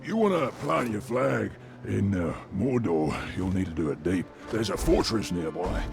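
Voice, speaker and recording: Gravelly, cocky orc bruiser. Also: male voice, on the low side, animated, moderate pace. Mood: gleefully bloodthirsty. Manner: cocky, chatty, bloodthirsty. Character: brutal, cheerful orc bodyguard who relishes killing. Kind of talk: video game character acting. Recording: loud music behind the voice.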